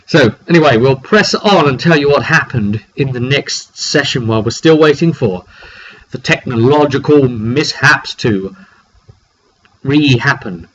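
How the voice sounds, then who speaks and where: A man with a cold who often clears his throat, casually recapping a tabletop roleplay session as game master in halting, phrase-by-phrase storytelling. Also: mid-range voice, lively intonation, a bit bright. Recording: dull lo-fi makeshift mic, clearly noisy.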